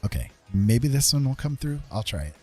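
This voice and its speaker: A man's voice: medium pitch, big pitch swings, a little fast, dark tone, faint music behind. A man livestreaming in a casual, conversational way, explaining things to his viewers. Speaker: streamer.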